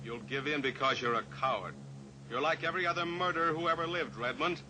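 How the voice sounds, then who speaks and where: A steely cowboy pilot hero, voiced by a man in a vintage radio play. He speaks calmly, with a slightly raised pitch and measured taunts. Audible hiss from the old recording runs underneath. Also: close to an everyday voice. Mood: coolly taunting. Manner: calm, coolly taunting. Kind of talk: 1950s radio drama acting.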